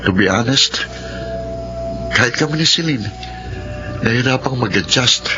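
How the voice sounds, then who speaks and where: An actor playing an earnest, reasonable older son explaining the family strain in a radio drama. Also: male voice, mid-range voice, animated, measured pace, lo-fi sound, music in the background, natural voice.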